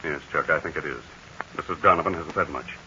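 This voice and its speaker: A steady, commanding aviator hero in a 1940s radio serial, speaking low and quick with a bright edge. The old recording is dull and lo-fi, with faint hiss behind it. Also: male voice, exaggerated voice. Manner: calm, assured, commanding. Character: brave steady male aviator hero, middle-aged. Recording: dull lo-fi 1940 radio transcription.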